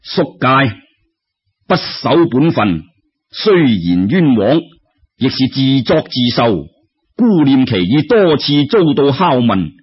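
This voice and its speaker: A man voicing a stern, shrewd scholar-official in a Cantonese-accented story, solemn, deliberate and commanding. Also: medium pitch, very animated, slightly rough, lo-fi sound, full character voice. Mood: formal pronouncing.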